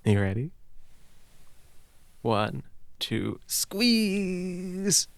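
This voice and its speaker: Man playing a gentle, slow-talking doting older brother. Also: high-pitched, big pitch swings, vocal fry, no echo, pitched above the performer's own voice, heavily acted. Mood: tender, reassuring. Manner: soft, hushed, tender, breaking into whispers. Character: gentle, doting older brother comforting his little sibling. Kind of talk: intimate ASMR roleplay.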